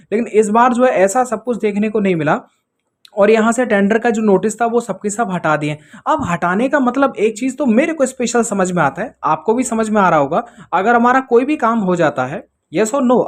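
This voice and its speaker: An exam-prep presenter explaining things informally to students in a didactic way, checking in with rhetorical questions. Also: mid-range voice.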